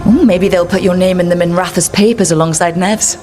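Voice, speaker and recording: A woman playing a steady, wry team leader in a video game, casual and plain-spoken. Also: fairly low pitch, a little fast, natural voice. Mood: teasing. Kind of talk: video game character acting. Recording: music behind.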